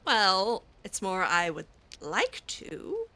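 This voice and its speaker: A woman's voice: mid-range voice, wildly swooping pitch, slow, bright, forceful tone. A young woman roleplaying her game character aloud, talking hesitantly with many fillers and restarts. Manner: hesitant, filler-heavy, giggly.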